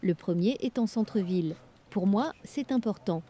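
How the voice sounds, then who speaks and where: A woman reading everyday French dialogues for learners, with clear, careful scripted delivery. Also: medium pitch, lively intonation, normal speed, dark tone, natural voice.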